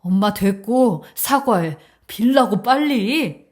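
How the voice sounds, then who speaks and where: A woman's voice: medium pitch, expressive, normal speed, natural voice. Woman voicing an outraged, defiant young bride. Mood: urgent anger. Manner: sharp, demanding, confrontational.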